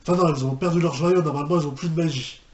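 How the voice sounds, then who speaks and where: A young man with a fairly high voice reacts off the cuff in an echoey room. He is incredulous and halting, often repeating himself, with faint noise behind him. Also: fairly even intonation. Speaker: reaction video host. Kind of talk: unscripted reaction commentary.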